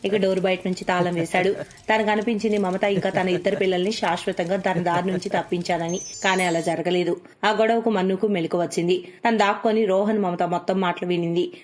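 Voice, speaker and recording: A woman's voice: fairly low pitch, steady tone, fairly quick, darkish tone, slight background noise. A woman narrating a cartoon moral story in a scripted storytelling style.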